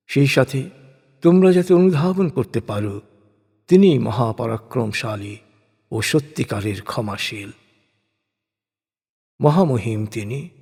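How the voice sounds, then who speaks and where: A man giving a solemn, measured reading of translated scripture in short, declaimed phrases. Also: fairly high pitch, warm tone, slightly rough. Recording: long reverb on the voice.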